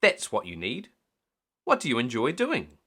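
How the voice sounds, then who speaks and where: A man's voice: mid-range voice, huge pitch swings, normal speed, bright, forceful tone, slightly rough, exaggerated voice. A man voicing a lighthouse keeper's older wife in a storybook, fussing, chiding and teasing. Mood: brisk. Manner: chiding, solicitous.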